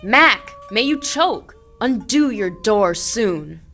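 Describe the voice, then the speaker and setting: A woman's voice: mid-range voice, expressive, slow, fairly bright tone, soft background music, exaggerated voice. A woman playing an earnest, forthright shepherd, declaiming archaic rhymed verse in a radio play. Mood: calling out. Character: earnest, forthright shepherd searching for a lost sheep.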